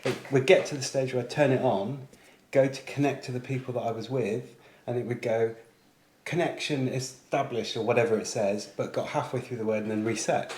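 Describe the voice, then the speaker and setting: A man's voice: mid-range voice, brisk, darkish tone. A man presenting a casual product unboxing, chatting informally with British slang. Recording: echoey room, faint background noise.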